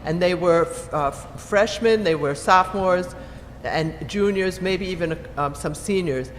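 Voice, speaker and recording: A woman's voice: fairly low pitch, measured pace. A woman professor on a panel, answering an audience question candidly and thoughtfully. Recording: hall reverb with faint room noise.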